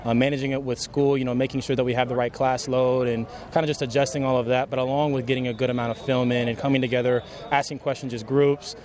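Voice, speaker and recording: A young man speaks as a college athlete in an interview. He talks very quickly at a middling pitch, earnest and matter-of-fact in his answers. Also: flat delivery, darkish tone. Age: young adult. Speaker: college football player. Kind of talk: post-practice interview answers.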